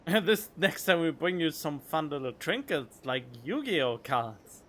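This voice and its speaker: A man's voice: fairly high pitch, sing-song, measured pace, fairly bright tone. A young man streaming a video game, giving casual reaction commentary with exclamations and joking asides on a close microphone. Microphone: close studio condenser microphone.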